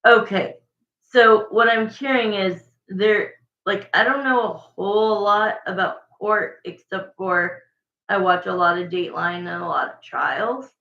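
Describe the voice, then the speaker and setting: A woman with a raspy voice doing a casual livestream tarot reading, chatting and thinking aloud between viewer questions. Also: medium pitch, a little slow. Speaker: tarot reader. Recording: echoey, dull lo-fi room sound.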